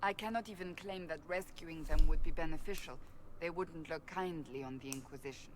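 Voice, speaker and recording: A woman voicing a proud, stubborn warrior in a fantasy game, speaking formally, earnestly and deliberately. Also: deep voice, a little hiss or hum, close to an everyday voice. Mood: earnest, pleading. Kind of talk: dramatic video game dialogue.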